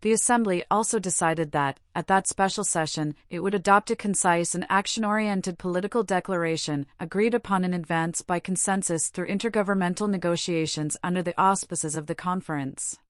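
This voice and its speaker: A woman reading practice passages aloud in a formal, measured manner. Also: on the low side, no echo, studio quality. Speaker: narrator of test practice texts. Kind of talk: scripted read-aloud of exam practice passages.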